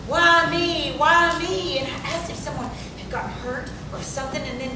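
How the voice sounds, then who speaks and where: A woman playing a frightened, devoted young wife, earnest and halting as she pleads in a stage play reading. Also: close to an everyday voice. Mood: distressed. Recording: very echoey room from far off, rumbling noise.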